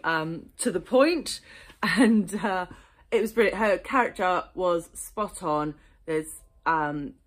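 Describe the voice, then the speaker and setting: A woman's voice: on the low side, a bit bright. A woman, chatting enthusiastically through her monthly book reviews with frequent ums and small laughs. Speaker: book vlogger. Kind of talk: casual book review monologue.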